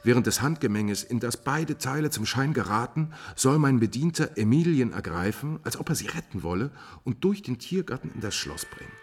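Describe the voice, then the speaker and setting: Ein Schauspieler spielt in einem Hörspiel der 1950er einen intriganten, kaltblütigen Höfling, der berechnend, bedächtig und einschmeichelnd spricht. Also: male voice, medium pitch, lively intonation, slightly rough. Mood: urgent, plotting. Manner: calculating, coaxing, deliberate. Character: scheming, cold-blooded male courtier, smooth servant of a prince. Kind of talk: 1950s German radio drama acting.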